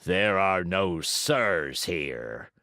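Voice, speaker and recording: A man voicing a gruff, bearded male puppet-show director with a hoarse, brusque way of talking. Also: low voice, expressive, very slow, bright, forceful tone, no echo, studio quality, full character voice.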